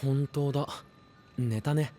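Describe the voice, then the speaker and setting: A man playing a sociable, tactful young straight man in an audio drama, with light casual speech and quick retorts. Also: medium pitch, fairly even intonation, warm tone, soft background music, lightly acted. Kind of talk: anime-style audio drama acting.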